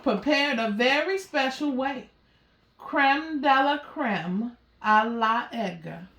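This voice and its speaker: Storybook villain butler, read by a woman: gloating, drawn-out delivery, roomy sound. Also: sits high, expressive, a bit bright, exaggerated voice. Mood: sly, gloating. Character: mean, scheming male butler.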